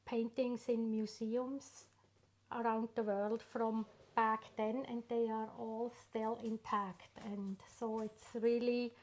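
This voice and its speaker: A middle-aged woman with a Swiss accent, English not her first language. She talks slowly, lingering on pauses and fillers as she shares her story. Her voice sits a little high. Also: little pitch movement. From Switzerland. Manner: unhurried, warm storytelling with frequent pauses and fillers. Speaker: artist guest. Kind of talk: casual interview.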